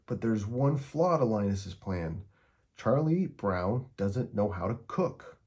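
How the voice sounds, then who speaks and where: A man's voice: fairly low pitch, expressive, slow, darkish tone, husky. A man reading a children's picture book aloud as a story time narrator.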